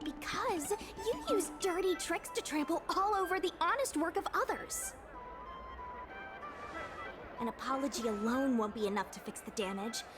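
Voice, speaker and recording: A woman's voice: high voice, animated, normal speed. An actress playing a feisty, righteous young woman in a video game, brash and blunt. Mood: indignant. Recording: background music under the voice.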